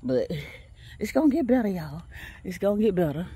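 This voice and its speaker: A woman's voice: mid-range voice, big pitch swings, brisk, mellow, breathy edge. A woman chatting casually to her viewers while she walks on an outdoor track, laughing often and talking straight to them. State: walking outdoors, a bit tired. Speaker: vlogger.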